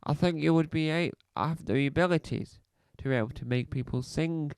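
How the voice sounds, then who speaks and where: Man plays a pompous podcaster with a cough, halting and repetitive, dry close mic. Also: fairly high pitch, a little mellow, clean tone, dry recording, pitched above the performer's own voice, lightly acted. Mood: rambling. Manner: rambling, halting, fond of repeating phrases. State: slight cough. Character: self-important male celebrity podcaster, rambling. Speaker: comedy podcast host character. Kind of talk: scripted comedy monologue.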